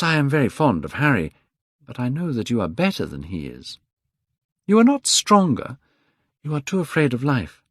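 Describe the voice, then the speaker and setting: A man's voice: mid-range voice, no room sound, clean studio sound, voice pushed up. A man reading aloud, voicing a vain, careless young man who sounds bored and dismissive. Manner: bored, dismissive, rising to sharp cries.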